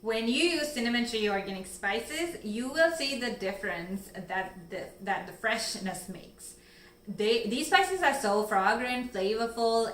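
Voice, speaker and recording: A woman from Sri Lanka who founded a spice company, explaining it earnestly with measured answers and a few fillers. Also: on the high side, fairly bright tone, echoey room. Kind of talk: scripted-sounding interview answers.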